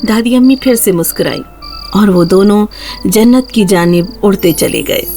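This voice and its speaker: A woman's voice: mid-range voice, lively intonation, warm tone, faint music behind. A woman narrator reading a scripted children's fairy tale in a storytelling style.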